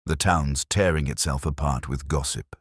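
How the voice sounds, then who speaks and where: A man's voice: very deep voice, normal speed, husky, no echo, studio quality. A man voicing an eager, deferential police sergeant, earnest and questioning, in a detective story. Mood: worried.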